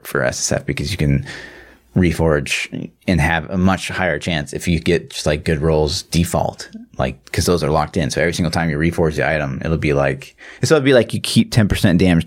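A man co-hosting a podcast, explaining things in a rambling, slangy, casual way with some swearing. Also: rumbling low. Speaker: podcast co-host. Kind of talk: casual gaming chat.

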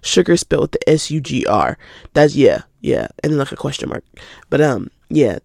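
A woman's voice: extremely low pitch, big pitch swings, normal speed, gravelly, a little mellow, no room sound, studio quality. An eighteen-year-old woman from Atlanta hosting her own podcast in casual, rambling chat, sounding stuffy from a cold. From Atlanta, Georgia. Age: teens. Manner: chatty, rambling, laughs easily. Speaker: podcast host.

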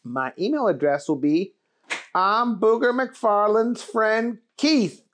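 A storyteller voicing Keith, an excitable folksy cowboy man who talks in a very high, slow drawl. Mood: excited. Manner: drawn-out hollering, very high.

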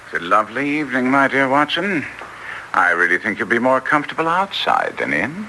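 A man playing a brisk, masterful English detective in classic radio drama style, theatrical and clipped, with an English accent. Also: medium pitch, wildly swooping pitch, pushed and bright, heavily acted. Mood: wry, assured. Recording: noisy vintage radio recording.

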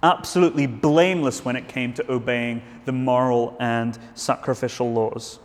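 A man's voice: medium pitch, steady tone, moderate pace, in a large hall. A man preaching a sermon in a church, earnest, with frequent restarts and fillers.

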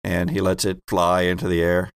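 A man's voice: deep voice, completely flat delivery, moderate pace, smooth, dry recording, clean studio sound. A man narrating an improvised tabletop role-playing game as its game master and host.

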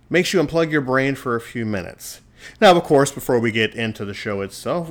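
A man of average pitch hosting a solo podcast. He talks in an earnest, encouraging way, with a smooth radio-DJ style. The voice sits in an ordinary middle range, neither high nor low. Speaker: podcast host. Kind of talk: casual solo podcast monologue. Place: home studio.

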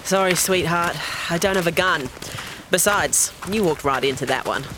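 A woman's voice: lowish voice, moderate pace, background noise, natural voice. A woman playing a sardonic, flirtatious female treasure hunter, dry and teasing in an audio adventure drama. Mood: sly, cool. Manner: dry, teasing, drawling endearments.

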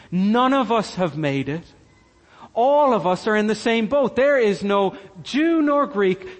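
A man's voice: high-pitched, big-room sound. A man from Cork with a Cork Irish accent, preaching a sermon earnestly and repeating key lines for emphasis. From Ireland, Cork. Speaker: pastor.

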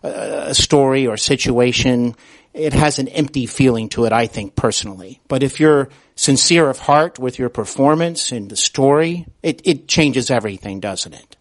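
A man's voice: medium pitch, moderate pace. A man hosting a conversational interview, speaking in an affirming, wordy way with hesitant phrasing. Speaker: podcast host and interviewer.

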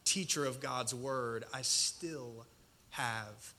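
A man in his thirties preaching a personal testimony in a colloquial, self-deprecating way, with dramatic pauses and a slight stutter. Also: mid-range voice, bright, forceful tone. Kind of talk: personal testimony sermon to an audience.

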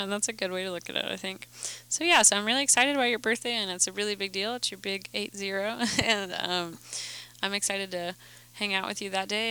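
A young woman hosting a podcast, chatting in a quick, giggly, rambling way. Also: mid-range voice, creaky, pushed and bright, smooth. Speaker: podcast host. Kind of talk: casual podcast chat.

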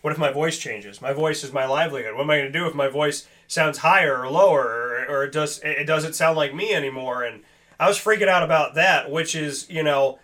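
Male talk host, fairly high and forceful tone, earnest, emphatic, casual and rambling. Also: fairly quick.

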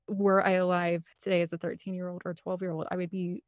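A woman's voice: fairly low pitch, little pitch movement, fast talker, no echo, highs cut off. A woman talking casually and at length as an interview guest, with a gushing, rambling enthusiasm. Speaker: interview guest, film composer and director.

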